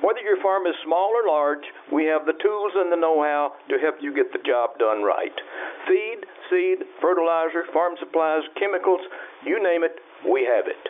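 A folksy American farm store owner played by a man makes a salesy pitch in a 1950s radio ad. His voice is slightly high, and the sound is muffled. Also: expressive, moderate pace, lightly acted.

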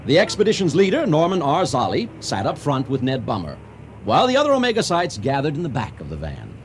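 An actor playing a theatrical narrator who addresses the audience in a comic radio drama. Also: male voice, a little high, wildly swooping pitch, a little fast, a little hiss or hum. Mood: animated storytelling. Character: theatrical, folksy male storyteller addressing an audience.

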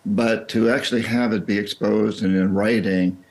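An older American man speaking as an interview guest, reflective and halting, often repeating his words as he explains. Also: lowish voice, fairly even intonation, a little mellow. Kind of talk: interview conversation.

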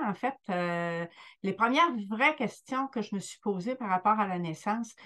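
An older woman with a Quebec French accent recalls her path in an interview. She pauses often and fills gaps with soft hesitations, at a middling pitch. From Quebec, Lower St. Lawrence. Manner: hesitant, many fillers. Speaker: guest midwife. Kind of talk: reflective interview storytelling.

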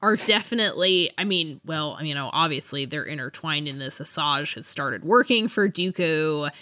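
A woman with a fairly low, very bright voice chats casually as a podcast co-host. She is talkative and giggly, keeping things informal as she discusses books. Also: animated, normal speed.

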